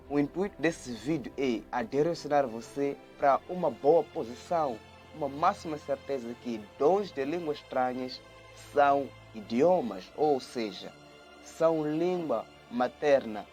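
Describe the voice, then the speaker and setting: Earnest man teaching the Bible. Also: medium pitch. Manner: earnest, repeats words and restarts, grows lively when retelling. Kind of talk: video Bible study, reading and explaining scripture.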